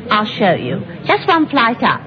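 A nosy, offhand working-class woman in a vintage radio play. Her pitch swoops wildly as she gossips in colloquial phrases. The old recording is muffled. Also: wildly swooping pitch, a little slow, crowd noise. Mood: curious, eager. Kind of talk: old-time radio character acting.

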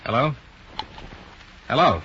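An actor playing a cool, probing insurance investigator in a 1950s radio drama, asking brisk, clipped questions. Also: male voice, medium pitch, big pitch swings. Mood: calm, reassuring. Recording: dull noisy 1950s radio recording.

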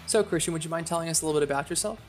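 A man's voice: fairly high pitch, racing, soft background music. A man hosting a podcast interview, asking questions in a casual conversational style. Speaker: podcast host.

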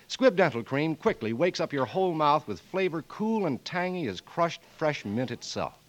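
A man's voice: a little high, fairly bright tone. A man announcing in a 1940s radio style, reading scripted sponsor copy with polished, emphatic delivery.

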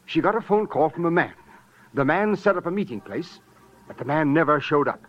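An actor playing an earnest, self-important rich fiancé, polite and precise, in a 1940s-style radio drama. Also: male voice, a little high, expressive, a little fast. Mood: anxious, earnest. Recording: dull, faintly noisy old radio recording.

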